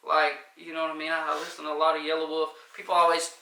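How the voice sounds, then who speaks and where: A man's voice: a little high, completely flat delivery, racing, creaky, pushed and bright, reverberant, far from the mic, poor audio. A man, a rapper, talking loosely in a casual interview, with a light voice and lots of fillers. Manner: loose, rambling, many fillers.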